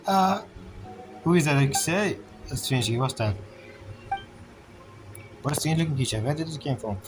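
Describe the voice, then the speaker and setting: A man doing casual game commentary and reading dialogue aloud, with halting, non-fluent phrasing. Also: fairly high pitch, animated. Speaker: gamer, let's-play commentator. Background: game music.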